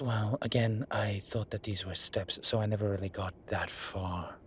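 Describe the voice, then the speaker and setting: A man playing a suave, vain incubus demon professor in an audio roleplay, speaking in a formal, measured, wryly teasing way. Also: on the low side, fairly bright tone, slight background noise. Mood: smug, teasing.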